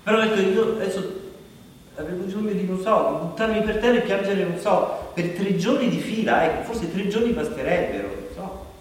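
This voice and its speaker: An actor as a desperate, self-conscious man speaks in a fairly high voice, pleading and hesitating as he repeats himself. It is a confessional stage monologue, picked up from a distance in a very echoey hall. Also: normal speed, natural voice. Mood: desperate, hesitant.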